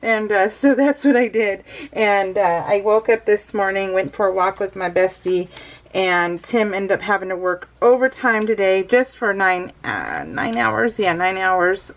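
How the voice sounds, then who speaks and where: A woman's voice: mid-range voice, expressive, unhurried. A middle-aged American woman chatting casually over her craft project as a vlogger, rambling and easily sidetracked, with a bubbly manner.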